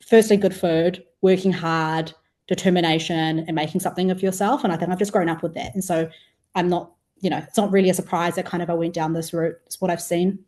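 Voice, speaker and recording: A woman's voice: fairly low pitch, steady tone, brisk, dark tone. A woman in her twenties with a New Zealand accent, chatting casually as an interview guest. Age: 20s. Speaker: podcast guest, food entrepreneur.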